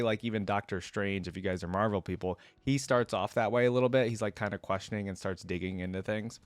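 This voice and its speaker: Low-voiced man, casual reaction host. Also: no echo. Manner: informal, slangy. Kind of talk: reading lore aloud with casual fan commentary.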